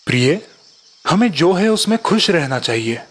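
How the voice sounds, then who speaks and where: A man voicing a poor, kindly fisherman husband in a children's cartoon, gentle and earnest. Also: on the high side, sing-song, normal speed. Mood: gentle, puzzled. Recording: reverberant echo under background music.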